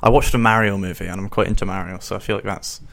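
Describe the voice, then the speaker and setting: Podcast host guy, lowish voice, asks stuff and teases, laughs a lot. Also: fairly even intonation, racing, gravelly, a bit bright. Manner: teasing, laughs often, asks questions. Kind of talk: casual chat.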